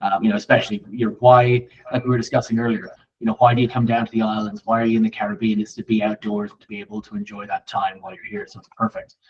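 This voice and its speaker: Man, interviewer, mid-pitched, distant from mic, dull lo-fi sound, rambling, agreeable. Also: level tone, fast, few pauses, a little mellow. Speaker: interviewer, real estate host. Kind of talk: conversational interview.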